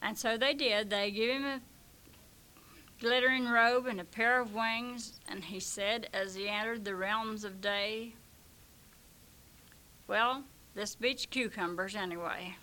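Hoarse lady reciting a funny poem, really slow, bright voice. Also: fairly high pitch, slight background noise. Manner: unhurried, expressive recitation. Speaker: reciter of comic verse.

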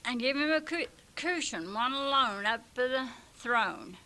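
A woman voicing a stern elderly male saint who keeps a gate and makes indignant, booming pronouncements. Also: animated, unhurried, sharp tone, full character voice. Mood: commanding.

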